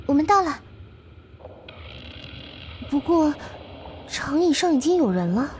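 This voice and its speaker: A woman voicing a gentle, playful young girl companion in a surreal game, speaking softly and unhurriedly with a caring, teasing manner. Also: high-pitched, sing-song, unhurried, a little hiss or hum. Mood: polite, hesitant. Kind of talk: visual novel game voice acting.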